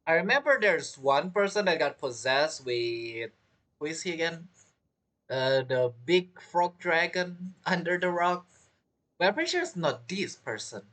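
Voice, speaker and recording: A woman's voice: low-pitched, very animated, unhurried, a bit bright. A woman giving casual, reactive commentary as she streams a video game. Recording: game music behind the voice.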